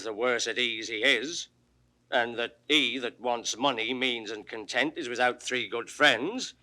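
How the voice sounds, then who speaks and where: A good-natured rustic shepherd with a clear-cut bright edge to a mid-pitched voice. He delivers homely wisdom earnestly and simply, in the manner of a 1960s recorded stage play. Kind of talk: classical audio drama acting. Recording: 1960s studio audio drama recording.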